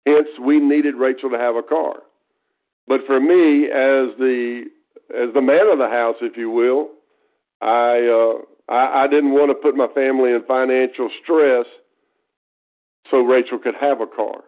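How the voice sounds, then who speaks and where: A man's voice: mid-range voice, fairly even intonation, a little slow. Earnest East Texas man on a phone line. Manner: folksy, earnest, restarts phrases. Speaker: guest speaker. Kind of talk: conference call talk. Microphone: telephone.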